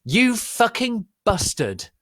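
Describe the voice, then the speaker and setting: A man voicing jealous teenage wizard boys, shouting hysterically in a comic dramatic reading. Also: huge pitch swings, no echo, studio quality, full character voice. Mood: furious, shouting. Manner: shrill, hysterical, drawn-out shouting.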